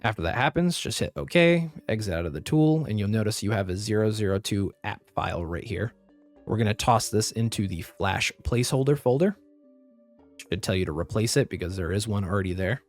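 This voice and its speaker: A man with a lowish, slightly raspy voice talks through each step of a computer tutorial in a plain, practical way. His throat is still a bit rough from a recent flu. Faint music plays quietly behind his voice-over. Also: lively intonation, brisk. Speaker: tutorial host. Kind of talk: screen-recorded software tutorial.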